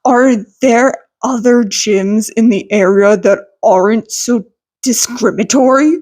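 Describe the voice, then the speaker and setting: A woman's voice: fairly high pitch, unhurried, a little mellow. A woman voicing a caring but distant husband in an audiobook story. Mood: indignant. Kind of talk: audiobook character voice.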